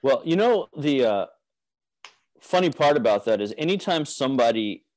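A man's voice: mid-range voice, expressive, moderate pace, a little mellow. Man chiming in on a podcast. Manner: brief backchannel listener. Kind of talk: casual podcast discussion.

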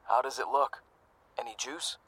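Weary, wary cyborg soldier. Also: male voice, mid-range voice, moderate pace, bright, forceful tone, breathy edge, no room sound, low-quality recording. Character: failing, loyal cyborg soldier comrade, wary and resigned. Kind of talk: audio drama acting.

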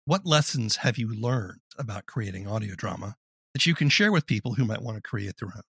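A man's voice: lowish voice, lively intonation, brisk, vocal fry, bright, forceful tone, breathy edge, dry recording, clean studio sound. A man hosting a podcast, delivering questions and scripted narration in a presenter's manner. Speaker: podcast host. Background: music.

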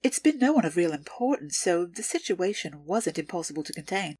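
A woman playing a calculating, deferential underworld information broker in an audio drama, with formal, measured, precise delivery. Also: lowish voice, very animated, darkish tone, husky, no echo. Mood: businesslike.

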